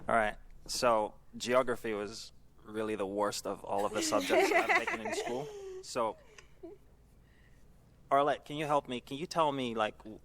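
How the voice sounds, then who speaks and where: Playful man with a lively voice. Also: medium pitch, moderate pace, pauses often, noisy background. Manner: playful, joking. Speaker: bachelor contestant. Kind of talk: casual game-show talk.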